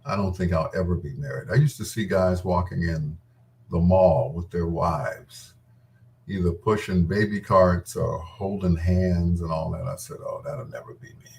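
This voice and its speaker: A man's voice: low-pitched, normal speed, creaky, darkish tone, far from the mic, slight background noise. A middle-aged man reminiscing casually in a rambling, candid and playful way, as the host of his own show. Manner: informal, candid, playful storytelling with self-quoting.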